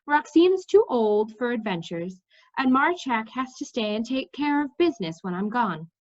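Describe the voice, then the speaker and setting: A woman's voice: on the high side, big pitch swings, normal speed. A woman voicing a practical, determined young woman from a storybook in a matter-of-fact manner. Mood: brisk, determined. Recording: slightly dull, lo-fi sound.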